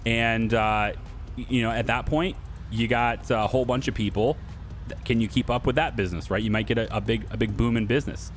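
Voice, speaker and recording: A man's voice: medium pitch, steady tone, fairly quick, a little hiss or hum. A man hosting a gaming video, talking casually and off the cuff to viewers in a chatty, rambling way. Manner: chatty, rambling, thinking aloud, addressing viewers and developers directly.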